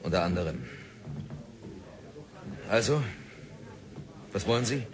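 A man's voice: low-pitched, lively intonation, slow, a crowd in the background. A man playing a stubborn, indebted prospector in a 1960s radio drama, curt and defiant.

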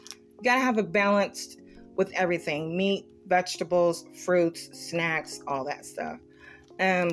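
A woman vlogger in her home kitchen, casually and informally listing items to viewers as she shows them. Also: lowish voice. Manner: informal, colloquial grammar, itemizing. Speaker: vlogger showing a grocery haul. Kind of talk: casual chat to viewers, product show-and-tell. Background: music.

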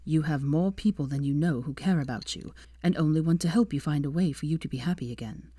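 A woman's voice: low-pitched, brisk, dark tone, slightly rough, dry recording, clean studio sound. A woman voicing a wry, protective male wizard in a story, with a reassuring manner. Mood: tender.

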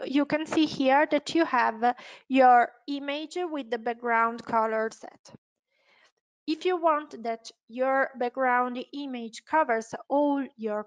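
A woman with an Italian accent presenting a step-by-step software tutorial, hesitant and unscripted, often correcting herself. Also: high voice, rough recording. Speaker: webinar presenter, software trainer.

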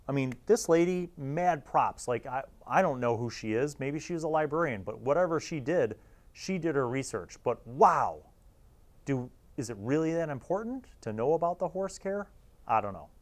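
A man in his forties talking casually and wryly to camera as a host reviewing things, on a lapel mic in a small studio. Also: mid-range voice. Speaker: host reviewing old gaming magazines. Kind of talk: casual, informal commentary to camera.

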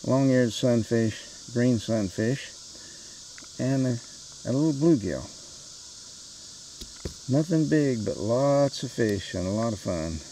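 A man giving casual, unhurried, plain-spoken narration for a fishing vlog, recorded outdoors on a creek with a handheld camera. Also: medium pitch, vocal fry, dark tone, poor audio. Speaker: angler filming his fishing trip. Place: outdoors in a float tube on a creek. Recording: outdoor creek noise with insects. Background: insects and natural outdoor ambience.

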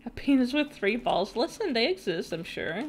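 A young woman streaming a game, chatting casually with her viewers in a nasal voice with vocal fry, giggling often and breaking into excited shrieks. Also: on the high side, moderate pace, a little hiss or hum. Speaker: VTuber streamer. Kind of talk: casual gaming stream chat, reading chat aloud.

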